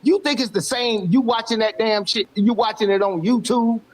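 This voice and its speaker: A man's voice: high voice, moderate pace, a little hiss or hum. Argumentative man in his fifties. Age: 50s. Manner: argumentative, talks over others. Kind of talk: casual sports debate talk.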